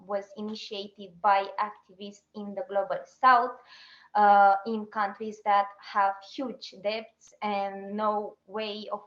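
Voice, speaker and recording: A woman from Romania giving an online talk as an activist, pausing and restarting her sentences often. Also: mid-range voice, reverberant, muffled recording. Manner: halting, with restarts and fillers. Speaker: activist speaker.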